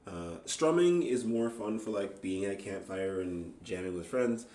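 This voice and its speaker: A man's voice: lowish voice, animated, moderate pace, reverberant. A man chatting casually and informally as an amateur musician, rambling in a self-deprecating way. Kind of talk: casual, rambling chat between songs.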